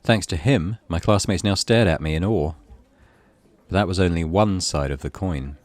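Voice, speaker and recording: A man's voice: deep voice, moderate pace, darkish tone. A man giving a theatrical, suspenseful reading of a horror visual novel. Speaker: gaming channel host reading aloud. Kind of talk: dramatic scripted narration of a horror visual novel.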